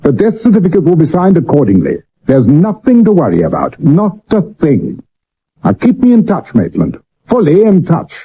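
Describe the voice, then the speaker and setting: An actor playing an imperious senior Victorian police chief in a 1970s radio drama, clipped, commanding and blustering. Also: male voice, fairly high pitch, huge pitch swings, moderate pace, breathy edge, exaggerated voice. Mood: firm, dismissive. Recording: dull old medium-wave radio recording.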